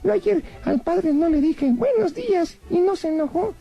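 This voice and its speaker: A man's voice: very high voice, normal speed, vocal fry, dull audio, voice pushed up. A man voicing a cheeky, wisecracking male rabbit puppet who takes everything literally. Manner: literal-minded, jokey, playful.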